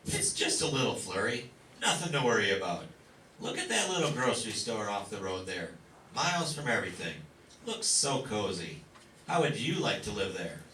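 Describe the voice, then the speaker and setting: A man's voice: lowish voice, very animated, moderate pace, pushed and bright. A man playing a stubborn, nostalgic family father in an old-time radio comedy. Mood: wistful. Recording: roomy, distant mic, faint noise.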